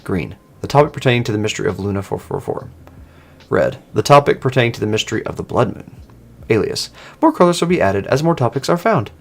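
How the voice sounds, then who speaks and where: A man's voice: mid-range voice, big pitch swings, fast, vocal fry. A man voicing a formal, methodical machine assistant that gives helpful instructions in precise wording. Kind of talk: scripted roleplay dialogue read aloud.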